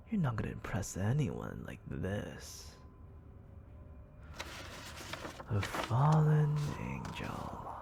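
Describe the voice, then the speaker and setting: A man voicing a cruel, condescending demon lord in an ASMR audio roleplay, murmuring slow taunts at the listener with a breathy, creaky voice. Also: fairly low pitch, noisy background, heavily acted. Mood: musing, condescending. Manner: condescending, taunting, languid and deliberate. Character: smug, menacing male demon lord, cruel and toying.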